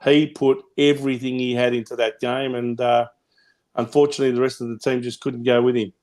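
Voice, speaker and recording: A man with an Australian accent and a booming voice, hosting a casual football chat show and running through match stats in a rambling, opinionated way. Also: flat delivery, brisk, a little mellow. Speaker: podcast host.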